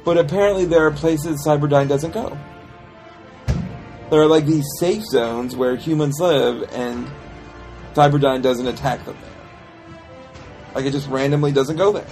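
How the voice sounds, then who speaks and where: A man co-hosting a casual film review chat, explaining things in an animated, halting way. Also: fairly high pitch, soft background music. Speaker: podcast co-host reviewing films.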